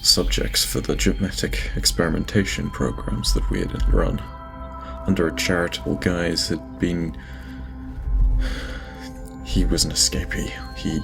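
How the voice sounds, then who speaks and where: Man acting a shaken, low, gravelly-voiced lord. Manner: halting, stammering, broken off with sighs. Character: shaken, guilt-ridden lord dictating a private log. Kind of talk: audio drama acting, personal log monologue. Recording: music behind the voice. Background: music.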